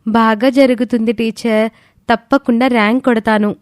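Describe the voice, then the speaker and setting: A respectful, eager teenage student voiced by a woman for an audio storybook. She sounds confident and high-pitched, in a dry, close recording. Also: steady tone, moderate pace, dry recording, lightly acted. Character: polite, eager, studious teenage girl student. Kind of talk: dramatised moral story acting.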